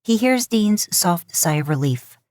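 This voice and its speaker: A woman narrating a fan fiction audiobook in a steady storytelling manner. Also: lowish voice, unhurried, no room sound, clean studio sound.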